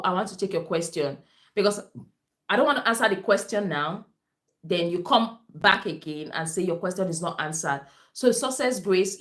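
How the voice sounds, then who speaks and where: A woman with a Nigerian accent, a native Esan speaker, hosts a live stream as a nurse and reads out viewers' comments. Her voice sits a little low, and she repeats her phrases as she speaks directly to her audience. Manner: repetitive, addresses viewers directly. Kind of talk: livestream reading viewer comments.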